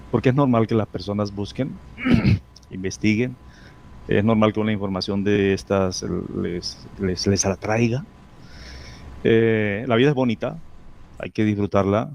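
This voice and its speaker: A man's voice: fairly low pitch, darkish tone, no echo. A man answering as a hypnotherapist guest in a casual interview, speaking emphatically and repeating phrases with self-assurance.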